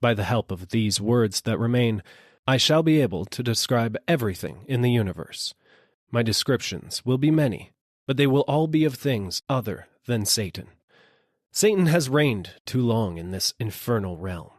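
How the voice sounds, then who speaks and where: A man's voice: mid-range voice, expressive, normal speed, no room sound, studio quality, close to an everyday voice. A man voicing an argumentative, logic-minded philosopher in a storybook, emphatic and formal in his declamation. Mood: resolute.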